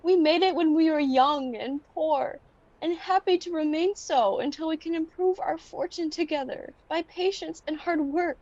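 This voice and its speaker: Teenage girl as a high-voiced heartbroken young fiancée. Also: moderate pace, a little mellow, dull audio, natural voice. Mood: sad, resolute. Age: teens. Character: heartbroken young fiancée, gentle, resolute, reproachful. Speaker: student actor. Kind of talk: radio play acting.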